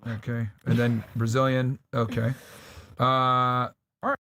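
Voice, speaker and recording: A man's voice: medium pitch, little pitch movement, unhurried, bright, forceful tone, no room sound. A man hosting a podcast, putting casual, blunt questions to guests in slangy speech. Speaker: podcast host.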